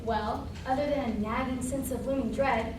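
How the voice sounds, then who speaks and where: Woman playing Maria, high-voiced reluctant judge. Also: steady tone, normal speed, background noise, natural voice. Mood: reluctant, matter-of-fact. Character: Maria, reluctant, unimpressed food judge and building owner. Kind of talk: amateur stage comedy acting. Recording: echoey hall, far mic, music bleed.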